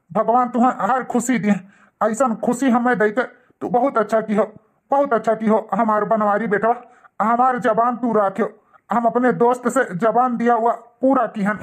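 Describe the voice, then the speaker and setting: A man with an Awadhi accent playing a gentle, affectionate elderly father in a cartoon. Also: very high voice. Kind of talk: cartoon dialogue.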